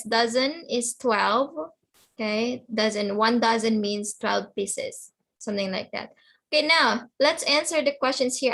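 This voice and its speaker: Woman, English teacher, fairly high voice, online lesson, simple phrasing, repetitive explanations, checks understanding. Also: a bit bright. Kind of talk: online lesson, explaining words and questioning students.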